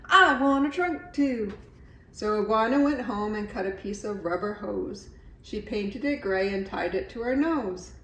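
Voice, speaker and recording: A woman's voice: mid-range voice, normal speed, recorded from a distance. A middle-aged woman reading a children's story aloud expressively, as a storyteller. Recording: echoey room, faint background noise.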